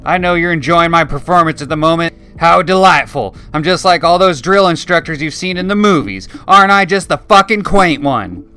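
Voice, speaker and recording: A man voicing a large, angry, foul-mouthed drill sergeant who shouts abuse at recruits. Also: on the high side, moderate pace, few pauses, clear, resonant tone, faint music behind, voice pushed up. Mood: barking, abusive. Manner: shouting, profane, mocking.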